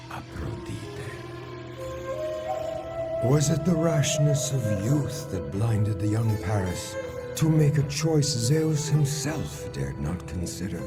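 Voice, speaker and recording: Grave epic game narrator: very low voice, unhurried and solemn, swelling and dropping for drama. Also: male voice, vocal fry, exaggerated voice. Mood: solemn, ominous. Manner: solemn, measured, storytelling. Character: grave male storyteller recounting the Trojan War. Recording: loud music under the voice.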